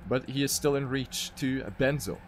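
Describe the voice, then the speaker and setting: A man's voice: medium pitch, little pitch movement, moderate pace, a little hiss or hum. A man giving live commentary on a racing video game as an esports caster.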